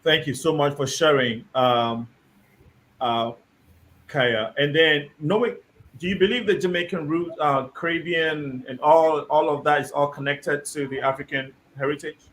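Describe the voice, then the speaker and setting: A man hosting a live discussion show, reading out viewer comments and guiding his guests in a measured, deliberate way. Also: fairly high pitch, steady tone, fairly bright tone, slight background noise. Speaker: host.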